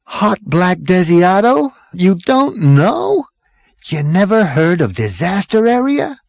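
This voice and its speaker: Man playing matey alien traveller, high raised pitch, swooping, gushing, slangy, emphatic, comic audiobook. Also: big pitch swings, slow pace, vocal fry, darkish tone, no echo, studio quality, full character voice. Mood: excited, impressed.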